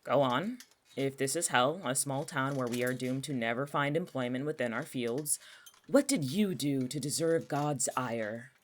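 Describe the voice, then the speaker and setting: Casting: male actor for jobless young cynic, superstitious and exasperated, quick to argue, in natural audio-fiction dialogue. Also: mid-range voice, close to an everyday voice. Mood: exasperated, teasing. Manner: sardonic, exasperated, argumentative.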